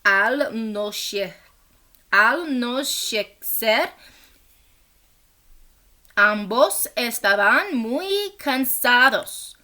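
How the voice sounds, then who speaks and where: A woman with a bright voice reads a picture book aloud to young children as their teacher. Her pace is slow and deliberate, and her pitch moves in an expressive, animated way. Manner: deliberate, expressive, acts out short quotes; encouraging asides and questions to young listeners. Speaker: first grade teacher reading aloud.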